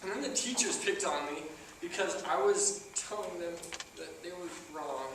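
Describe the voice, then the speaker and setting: A man's voice: high-pitched, normal speed, creaky. Man acting awkward wordy loner, very echoey stage recording. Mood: agitated. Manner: wordy, stilted, overly precise phrasing. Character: awkward, talkative, socially naive loner man in a bar. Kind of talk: amateur one-act stage comedy-drama acting. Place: theatre stage, recorded from a distance. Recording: echoey theatre, distant mic, loud noise.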